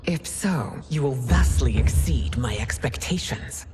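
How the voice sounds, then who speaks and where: Stern empress, low-pitched, slow, formal, faint music. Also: female voice, expressive, exaggerated voice. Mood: stately. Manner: formal and imperious. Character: regal widowed empress, cool and stern. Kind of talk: video game cutscene acting.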